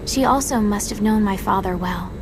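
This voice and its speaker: A woman's voice: mid-range voice, moderate pace, noisy background, lightly acted. A woman playing a sardonic seventeen-year-old girl agent in a video game audio drama, snide and inquisitive, outwardly polite but privately scornful. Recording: loud background music under voice.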